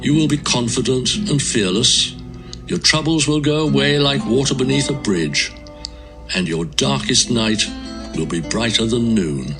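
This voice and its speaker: Self-righteous male accuser, played by an actor; emphatic and admonishing, very expressive melody, Bible audio drama with guitar-like backing. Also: medium pitch, measured pace, natural voice. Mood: solemn exhortation. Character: stern, self-assured male accuser lecturing a sufferer.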